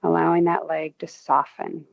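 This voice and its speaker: A woman guiding a relaxation practice, giving slow, soothing cues to tense and release muscles, her voice climbing with emphasis on each tensing cue. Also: low voice, little pitch movement, vocal fry, phone-quality sound. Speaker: movement instructor. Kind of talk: guided progressive muscle relaxation.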